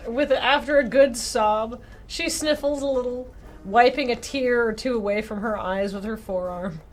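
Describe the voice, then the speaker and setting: Woman game streamer, highish voice, playful casual narration of dialogue, soft music in the background. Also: moderate pace, poor audio. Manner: playful, giggly.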